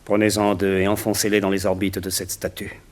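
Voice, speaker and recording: A man's voice: low voice, completely flat delivery, fairly quick, a little hiss or hum, lightly acted. An actor playing a rich, mad and courteous villain in a 1960s French radio drama, savouring his polite phrases. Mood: gloating. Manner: polite, savouring, theatrical delivery. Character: smooth, mad, courteous rich villain who collects statues.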